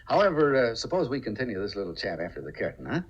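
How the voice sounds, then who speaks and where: A man's voice: on the low side, sing-song, quick pace, slightly rough, highs cut off. A man hosting and narrating a radio theater in a formal 1950s broadcast style. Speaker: theater director and host. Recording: vintage radio broadcast, faint music.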